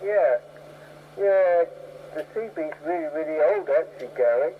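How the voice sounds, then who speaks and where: A man comes through on a tinny CB radio with clear static. His voice is a little high, and he chats casually, circling back over his phrases for emphasis. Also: expressive, normal speed. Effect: CB radio transmission. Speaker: CB radio operator.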